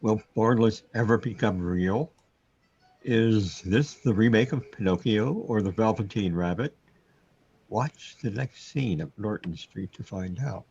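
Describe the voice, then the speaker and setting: A man's voice: lowish voice, a little slow, a little mellow, slightly rough. A man announcing a scripted serial cliffhanger teaser, on a dull lo-fi recording. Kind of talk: scripted old-time serial cliffhanger narration. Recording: dull, lo-fi sound.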